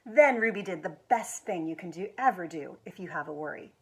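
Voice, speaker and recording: An American woman with a husky voice reads a children's picture book aloud expressively, stretching words for emphasis. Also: fairly low pitch, a little hiss or hum. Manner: expressive, with drawn-out emphasis and quiet asides to show pictures. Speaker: executive director of a schools foundation, guest reader.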